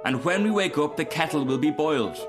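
A little country boy from a storybook , acted by a male narrator. The voice is lifted high, boyish and simple, with an eager curiosity. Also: animated, brisk, over music, close to an everyday voice. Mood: matter-of-fact. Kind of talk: storybook character reading.

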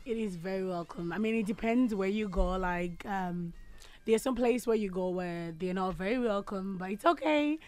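A young woman from Zimbabwe, a spoken word artist, giving short, soft-spoken answers with fillers in a radio studio. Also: medium pitch, moderate pace. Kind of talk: casual interview answers.

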